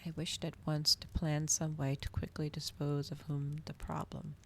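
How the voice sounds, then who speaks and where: A woman reading a story aloud in a soft, hushed ASMR style. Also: low-pitched, completely flat delivery, moderate pace, a little hiss or hum. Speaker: streamer reading a novel aloud.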